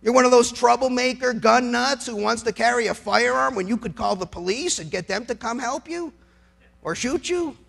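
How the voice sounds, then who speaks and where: A man's voice: very high-pitched, normal speed, vocal fry, a bit bright. A middle-aged man, a lawyer, giving an impassioned political speech in an emphatic, sarcastic way, with rhetorical questions and repeated phrases.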